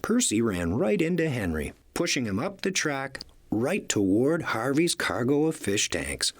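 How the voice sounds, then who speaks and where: A man reading a children's read-along story as narrator, in an expressive storytelling style. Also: mid-range voice, a little slow, husky.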